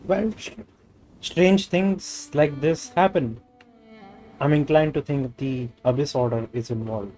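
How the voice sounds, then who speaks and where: A man's voice: on the high side, takes it slowly, a little mellow, soft background music. A man with an Indian accent, a native Hindi speaker, doing live gameplay commentary and reading dialogue aloud. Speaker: game streamer.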